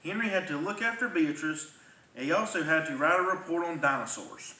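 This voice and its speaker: A man reading a children's storybook aloud in an animated way. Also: on the high side, brisk. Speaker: firefighter reading a children's book. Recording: roomy, distant mic, faint noise.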